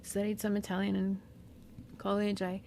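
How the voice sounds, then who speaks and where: A woman with a Southern California accent whose first language is Spanish, talking unscripted and reflectively in a slow, pausing monologue as a podcast host. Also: medium pitch, completely flat delivery, gravelly, warm tone, a little hiss or hum. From Mexican-American. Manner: slow, reflective, with pauses and fillers.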